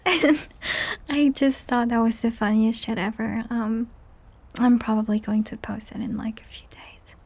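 A young woman hosting a whispered, ASMR-style chat podcast, rambling softly with giggles, her voice strained and cracked. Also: fairly high pitch, fairly even intonation, measured pace. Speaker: podcast host and audio creator. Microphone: high-end studio microphone.

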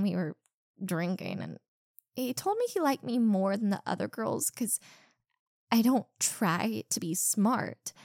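A young woman playing a rude, insecure popular college girl in a one-sided roleplay, sharp-tongued and defensive, stumbling over her words. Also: a little high, vocal fry, no echo, studio quality, exaggerated voice. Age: young adult.

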